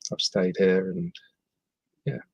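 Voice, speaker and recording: A man's voice: sits low, takes it slowly, creaky, husky, no room sound. A middle-aged man from the West Midlands, a podcast guest talking hesitantly with many ums. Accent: English, not Scottish. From West Midlands, England. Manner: hesitant, frequent ums and restarts. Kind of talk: casual interview chat.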